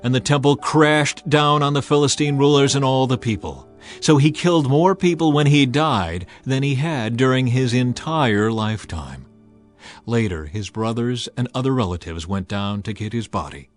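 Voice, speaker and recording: Guy narrating an audio bible, measured storyteller delivery, mid-pitched voice, music behind him. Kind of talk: dramatized scripture narration, scripted.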